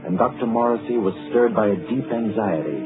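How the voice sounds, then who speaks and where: A man announcing and narrating in a dramatic, deliberate 1940s radio style with an ominous tone. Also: on the low side. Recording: tinny old radio broadcast with music.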